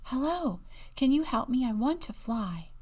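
Small female penguin chick, played by a woman, shy yet determined, animated pitch, storybook reading. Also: a little slow, heavily acted. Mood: earnest, pleading. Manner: earnest, pleading, often whispering.

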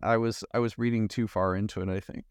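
A man's voice: fairly low pitch, steady tone, fast talker, smooth, no room sound, studio quality. A man co-hosting a podcast, talking through movies in a casual, conversational and analytical way. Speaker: podcast co-host.

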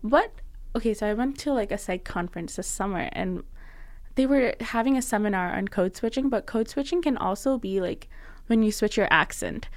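A young woman co-hosting a casual podcast, animated and quick to laugh, with lots of "like" fillers. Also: medium pitch, no echo. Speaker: podcast co-host. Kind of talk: casual chat.

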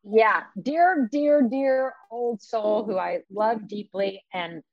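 A woman's voice: fairly high pitch, slow pace, dry recording, muffled recording. A woman co-hosting a wellness podcast from home, chatting casually and laughing often. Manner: giggly and chatty. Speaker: podcast co-host.

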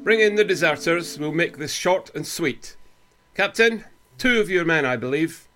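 A man's voice: a little high, lively intonation, moderate pace, bright, forceful tone, faint music behind. A man playing a stern, impatient senior army officer in a radio play, curt and scornful with authority. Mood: brusque, scornful. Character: stern, impatient senior British army officer presiding over court-martial. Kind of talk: radio drama acting.

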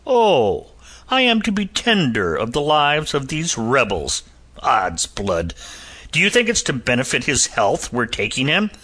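A man voicing a blustering English army captain with a heavy, hectoring tone, shouting and sneering. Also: mid-range voice, voice pushed up, full character voice.

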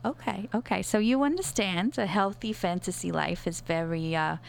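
A woman's voice: medium pitch, creaky, close to an everyday voice. Woman as a patient, soothing sex therapist. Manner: measured, reassuring, with hesitant fillers. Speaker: advice-show therapist. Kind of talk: improvised comedy sketch.

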